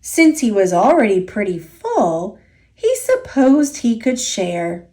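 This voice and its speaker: A woman reading a children's picture book aloud as a storyteller, expressive and deliberate, drawing out key words. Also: medium pitch. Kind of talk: children's story read-aloud, scripted narration.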